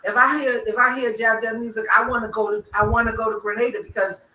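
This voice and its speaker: Woman, Bahamian accent, emphatic, opinionated, bright tone, echoey distant mic. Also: fairly high pitch, fast. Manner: emphatic, opinionated, building to excited peaks. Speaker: podcast co-host, DJ. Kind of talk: casual chat. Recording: roomy echo, mic at a distance.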